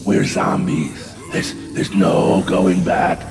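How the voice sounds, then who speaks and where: An actor playing an exasperated, centuries-old zombie colonel in a comic horror audio drama, with a theatrical, menacing delivery. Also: male voice, low-pitched, flat delivery, slow pace, vocal fry, crowd noise, full character voice. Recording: long reverberant hall echo.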